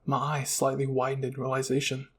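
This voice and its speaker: A man's voice: medium pitch, monotone, brisk, a bit bright, a little hiss or hum. A man narrating a scripted first-person roleplay story.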